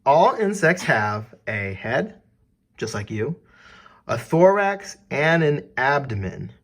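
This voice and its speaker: A man's voice: medium pitch, big pitch swings, slow pace, a bit bright. A man reading an educational text aloud for children as a teacher, putting questions directly to the listener.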